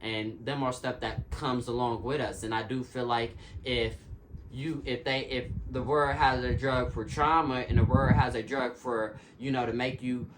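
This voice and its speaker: A man's voice: mid-range voice, steady tone, moderate pace. A man in recovery talking about addiction as an interview guest, earnest and emphatic, with preacher-like repetition. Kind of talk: casual interview talk. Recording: noisy recording with clicks.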